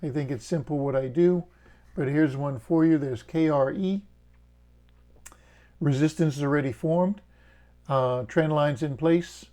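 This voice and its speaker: Middle-aged bahamian guy talking through his trades, warm relaxed voice, plain and steady. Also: a little high, measured pace, long pauses. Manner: plain, steady explaining with fillers and folksy jokes. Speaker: options trading channel host. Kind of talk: casual screen-share walkthrough of trades.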